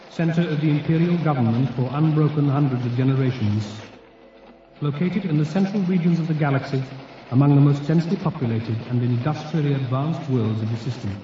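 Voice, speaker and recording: An actor voicing a formal, measured encyclopedia narrator in a radio drama, with a heavy echo effect. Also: male voice, mid-range voice, mellow, distant mic. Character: solemn encyclopedia voice reading entries. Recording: echoing, dull, noisy radio drama recording.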